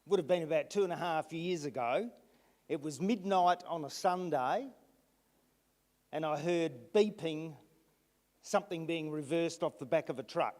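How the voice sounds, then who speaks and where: A man addressing a council meeting, recounting events haltingly with restarts. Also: on the high side, darkish tone. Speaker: resident speaking at council meeting. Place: council chamber. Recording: long reverb in a hall.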